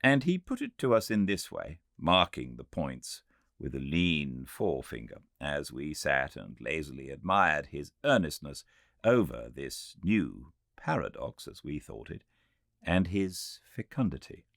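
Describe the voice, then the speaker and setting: A man with a British accent giving a measured, expressive, scripted literary narration as an audiobook reader. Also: a bass voice, gravelly, no room sound, clean studio sound. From Britain.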